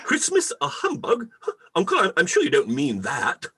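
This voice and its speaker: A man's voice: sits high, wildly swooping pitch, moderate pace, very noisy, pitched above the performer's own voice. A man voicing a cheerful, good-natured young nephew in a radio play, eager and exclamatory. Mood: teasing, earnest.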